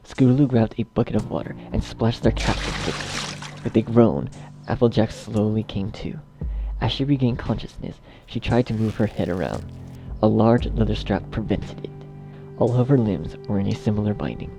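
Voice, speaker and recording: A man narrating a dark fan fiction story as a scripted reading. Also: lowish voice, normal speed, gravelly, music in the background, rough recording.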